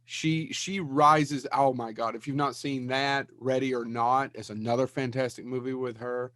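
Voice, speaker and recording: A man's voice: medium pitch, fairly even intonation, normal speed, fairly bright tone, no echo. A man co-hosting a podcast, trading casual banter with wisecracks and opinionated interjections. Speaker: podcast co-host. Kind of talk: casual group banter about films.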